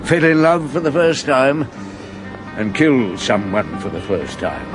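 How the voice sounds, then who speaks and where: An actor playing a seasoned, wry police chief inspector telling of old cases in a conversational radio drama. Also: male voice, mid-range voice, sing-song, measured pace, music in the background. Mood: reminiscing.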